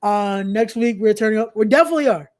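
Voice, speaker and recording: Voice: medium pitch, fast talker, a little mellow. Casual podcast host, slangy and repetitive. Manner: repeats phrases, informal slangy asides. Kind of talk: casual live-stream chat with event promotion.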